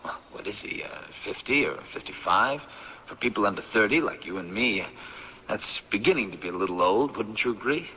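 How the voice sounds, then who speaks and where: A young academic, speaks with polite, earnest hesitation in an old radio drama. The voice is fairly low and rings in a long reverb, on a dull recording with some noise. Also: male voice, expressive, fairly quick, husky. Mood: curious. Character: polite, earnest young male anthropology professor.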